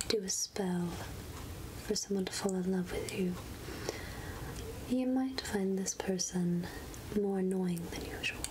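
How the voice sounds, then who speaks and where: A woman playing a mysterious, kindly witch healer in a whispered, breathy ASMR roleplay, coaxing and murmuring to herself. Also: fairly low pitch, noisy background. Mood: cautioning, matter-of-fact. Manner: slow, hushed, coaxing, with murmured asides.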